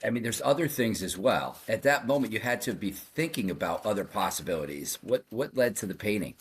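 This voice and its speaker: A man's voice: fairly low pitch, expressive, fairly quick, a little hiss or hum. A man hosting a podcast, interviewing his guest in a conversational way. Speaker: podcast host.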